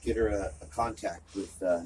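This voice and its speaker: A man's voice: deep voice, fairly even intonation, normal speed, noisy background, close to an everyday voice. A man playing a retired music-industry veteran who talks in a laid-back, offhand way. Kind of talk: amateur home movie acting.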